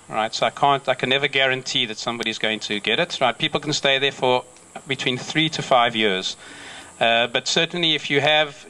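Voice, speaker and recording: A man's voice: medium pitch, bright, forceful tone, poor audio. A man speaking at a gathering about a subsidized housing program, often checking in with "right?" and using fillers. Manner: repeats "right?" and hedges with fillers. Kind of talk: public announcement speech.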